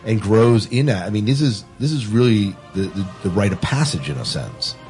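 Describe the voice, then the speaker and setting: A man's voice: fairly low pitch, lively intonation, normal speed, darkish tone, soft background music. A man hosting a podcast, talking casually about film in a conversational discussion. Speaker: podcast host.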